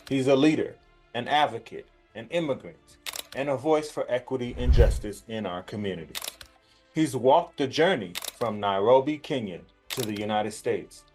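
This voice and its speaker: A man hosting an interview show, introducing his guest and asking questions. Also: mid-range voice.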